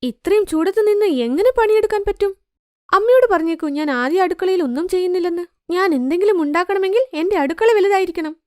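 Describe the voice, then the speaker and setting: Woman putting on a haughty, high-pitched young daughter-in-law. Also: animated, fairly quick, dry recording, clean studio sound. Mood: whiny, defiant. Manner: complaining, showy. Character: haughty, boastful young daughter-in-law, whiny and self-centred. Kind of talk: cartoon moral story dialogue.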